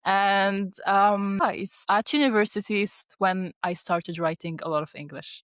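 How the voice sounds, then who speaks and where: A woman's voice: mid-range voice, unhurried, a bit bright, no room sound, clean studio sound. A young woman from Tunisia whose first language is Arabic, chatting as an interview guest with frequent laughs and fillers. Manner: chatty, laughs often, many fillers and restarts. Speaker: guest, dental student and ghostwriter. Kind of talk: casual interview talk.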